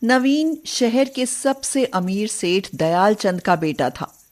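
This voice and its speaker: Slow-paced woman narrating a story. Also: medium pitch, expressive, a little mellow.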